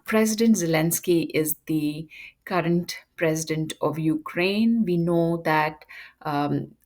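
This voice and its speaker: Woman, low voice, educator-style monologue, halting with ums. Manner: measured, frequent ums and uhs. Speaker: educator presenting a topic. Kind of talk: unscripted lecture monologue.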